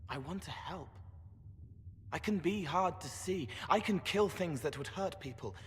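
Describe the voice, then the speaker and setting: An actor voicing a gentle, boyish young spirit in a game cutscene, earnest and literal, speaking in short cryptic phrases. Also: male voice, a little high, expressive, unhurried, a little hiss or hum. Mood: earnest, pleading. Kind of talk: video game cutscene acting. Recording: hall reverb, game music behind.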